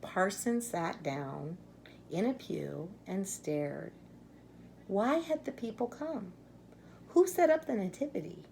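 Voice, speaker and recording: Unhurried woman reading a children's storybook, slightly gravelly. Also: mid-range voice, expressive, a little mellow, a little hiss or hum. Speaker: storybook reader. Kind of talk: expressive children's read-aloud.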